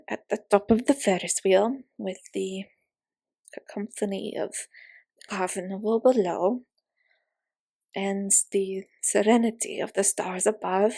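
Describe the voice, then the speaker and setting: A woman plays a mysterious female fortune teller in an audio roleplay. She declaims in formal, portentous phrases at a slow, deliberate pace. Her voice is husky with some creak, and her manner is grand and insistent. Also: mid-range voice, dark tone. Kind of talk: scripted roleplay audio, theatrical prophecy.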